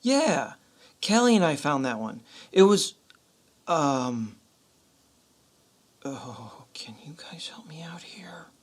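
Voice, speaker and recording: A woman playing a hesitant, evasive coworker with a husky voice in an audio comedy skit. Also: deep voice, very animated, slow pace, long pauses, heavily acted.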